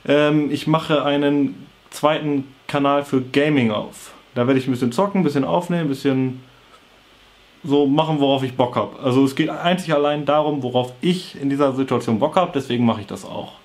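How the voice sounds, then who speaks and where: A man's voice: mid-range voice, moderate pace. Young man with a cold, vlogging casually. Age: young adult. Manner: informal, slangy, offhand. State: has a cold and sore throat. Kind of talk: casual talk to camera. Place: small bedroom with computer desk, indoors.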